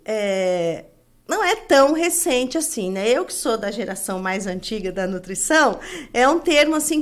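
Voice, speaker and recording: A middle-aged woman hosting an academic interview as a nutrition professor, chatty, with frequent tag questions. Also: sits high. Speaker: host, nutrition professor and course coordinator.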